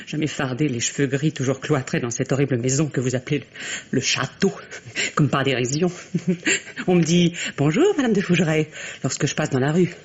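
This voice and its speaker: An actress playing a bitter, unhappy middle-aged wife in a French radio drama, halting and repeating words with volatile emotion. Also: female voice, low-pitched, lively intonation, dull audio, a little hiss or hum. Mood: bitter, self-mocking. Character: bitter, grey-haired, unhappy wife, trapped and theatrical.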